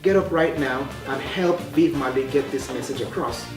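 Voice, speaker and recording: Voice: mid-range voice, moderate pace. A speaker making an urgent, direct appeal to support a cause. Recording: echoey, distant mic, music behind.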